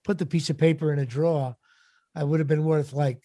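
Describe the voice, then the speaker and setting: A middle-aged man with a raspy voice, chatting casually as an interview guest in a wry, self-deprecating, halting way. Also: fairly high pitch, fairly even intonation, mellow, no room sound, studio quality. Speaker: podcast guest, actor and comedian.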